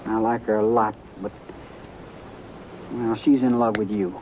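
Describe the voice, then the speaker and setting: An actor playing a quiet, stubborn young cowhand in a radio western, terse and plain-spoken. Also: male voice, lowish voice, steady tone, unhurried, close to an everyday voice. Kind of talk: 1950s radio western acting. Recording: muffled, hissy old radio recording.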